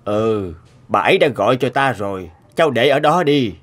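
Man acting a gruff old cartoon scientist, animated delivery. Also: medium pitch, moderate pace, natural voice. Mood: curt. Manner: brusque, pompous. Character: gruff, stern, self-important old male scientist. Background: music.

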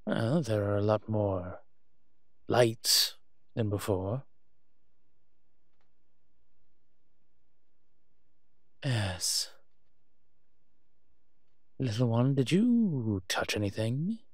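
Low, slow-spoken male vampire, acted by a man. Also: animated, no echo, clean studio sound. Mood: wary, urgent. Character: wry, cautious male vampire father investigating a cabin. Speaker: voice actor in audio roleplay. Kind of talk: scripted audio roleplay acting addressed to the listener.

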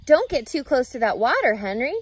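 A woman's voice: high-pitched, big pitch swings, fairly quick, husky, no echo, exaggerated voice. A woman voicing a kindly young man who mentors a boy, earnest and encouraging, in a storybook read-aloud. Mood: cautioning, teasing.

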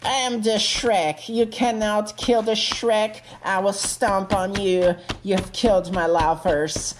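A woman acts out a self-important ogre man in a homemade comedy tale. The lines come slowly as stilted, grand declarations, with faint noise in the background. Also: mid-range voice, steady tone, close to an everyday voice. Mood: defiant. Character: big male green ogre, pompous, lovestruck fighter.

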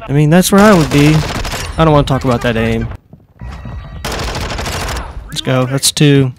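Stuffy-nosed young man over loud gunfire. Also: medium pitch, a little slow, noisy background. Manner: reactive, swings from mutters to excited shouts. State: slightly nasal from a cold. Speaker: gaming streamer. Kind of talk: casual gameplay commentary.

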